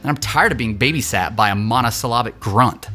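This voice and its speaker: A man's voice: mid-range voice, brisk, pushed and bright, soft background music, lightly acted. A man playing a cocky, whiny, entitled young rich son in an audio drama, sarcastic and theatrical. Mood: sarcastic griping. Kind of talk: scripted audio drama acting.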